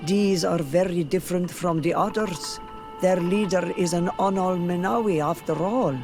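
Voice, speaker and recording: A frank young tribesman in a fantasy game, speaking in a high voice for a man. Music plays clearly behind him. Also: moderate pace. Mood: matter-of-fact. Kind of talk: video game dialogue acting.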